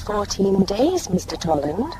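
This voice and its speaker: A woman's voice: medium pitch, big pitch swings, a little slow, noisy background. A woman playing a prim, literal-minded ship's computer in an audio drama, with a formal, cool and precise manner. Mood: formal, informative.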